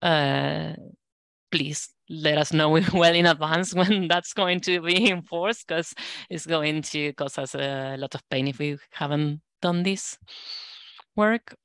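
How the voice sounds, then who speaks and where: A woman with a low, smooth voice gives a status update in an online group meeting. She speaks at a slow, measured pace, and the sound is dry and close. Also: steady tone, a bit bright, no echo. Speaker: working group lead giving a status update.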